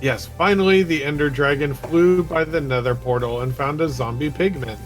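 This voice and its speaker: A man's voice: a little high, normal speed, soft background music. A man reading stories aloud and chatting casually during a video game session. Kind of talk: storybook read-aloud and casual game chat.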